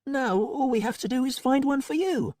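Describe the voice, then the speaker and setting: A woman's voice: high-pitched, normal speed, darkish tone, dry recording, studio quality, voice pushed up. A man voicing a wry, breezy woman. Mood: fond.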